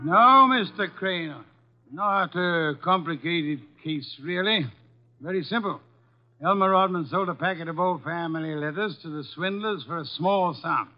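An actor playing a fat, shrewd, commanding middle-aged detective with formal, precise diction in a 1950s radio drama. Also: male voice, fairly high pitch, a little slow, faint music behind, close to an everyday voice. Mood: satisfied, explanatory. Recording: dull, lo-fi vintage radio recording.

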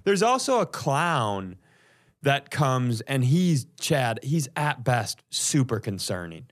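A man's voice: mid-range voice, sing-song, slow. A man co-hosting a comedy podcast, telling casual funny stories and laughing often as he goes. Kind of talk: casual comedic chat, storytelling.

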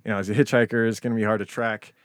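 A man's voice: lowish voice, level tone, rapid-fire, dry recording, studio quality. A man hosting a casual true-crime podcast, talking conversationally with some swearing. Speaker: podcast host. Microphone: close podcast microphone.

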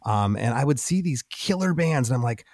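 A man's voice: medium pitch, animated, normal speed, darkish tone, no room sound, studio quality. A middle-aged man hosting a casual interview, an enthusiastic and chatty fellow singer who often repeats his words. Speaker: podcast host and fellow singer.

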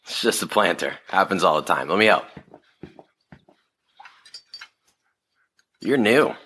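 A man playing a casual, teasing high school senior in an audio drama. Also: lively intonation, fairly quick, sharp tone, husky, heavily acted. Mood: friendly.

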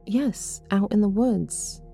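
A woman's voice: mid-range voice, slow pace, vocal fry, dark tone, soft background music, voice pushed up. A woman voicing an anxious, headstrong and protective daughter in an audio story, urgent and exasperated. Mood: uneasy.

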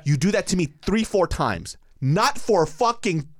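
A man co-hosting a podcast, telling stories in a casual, profane and animated way with plenty of laughing. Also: high voice, unhurried, dry recording, clean studio sound. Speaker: podcast co-host. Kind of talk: casual chat.

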